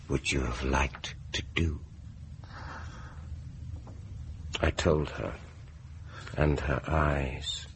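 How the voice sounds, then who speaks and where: Radio horror villain: vengeful dentist, deep and unhurried, gloating, menacing, prone to whispering. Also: male voice, fairly bright tone, slight background noise, heavily acted. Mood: gloating, brooding. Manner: menacing, deliberate, theatrical, lapsing into whispers. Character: sinister vengeful dentist gloating over his captive patient. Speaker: radio drama actor. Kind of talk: radio horror drama acting.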